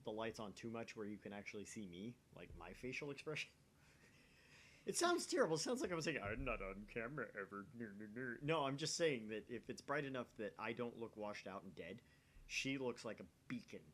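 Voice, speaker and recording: A man's voice: mid-range voice, expressive, a little fast. A man who hosts gaming videos, reading a story aloud with casual banter in between.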